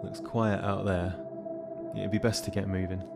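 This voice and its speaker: A man's voice: deep voice, flat delivery, moderate pace, gravelly, over music. A young man playing a weary, dryly sarcastic soldier rescuing survivors in an audio drama. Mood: sardonic, insistent. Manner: dry, sarcastic.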